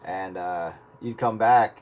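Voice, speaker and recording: A man's voice: low voice, takes it slowly, darkish tone, slight background noise. A man talking casually with other operators over the radio.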